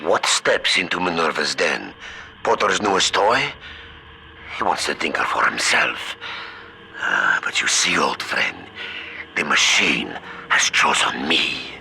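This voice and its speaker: A man's voice: extremely low pitch, expressive, takes it slowly, vocal fry, background noise, heavily acted. An actor playing an unhinged, scheming game villain who speaks in a theatrical, menacing way, savoring his words. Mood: gloating, menacing. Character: unhinged scheming male villain, zealot of a thinking machine. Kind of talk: video game villain acting.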